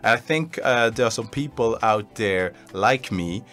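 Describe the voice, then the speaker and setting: A man's voice: lowish voice, lively intonation, unhurried, fairly bright tone. A man born in Congo and raised in Switzerland, an actor talking earnestly and informally in an interview. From born in DR Congo, grew up in Switzerland. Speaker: actor being interviewed as cast member. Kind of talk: casual interview talk.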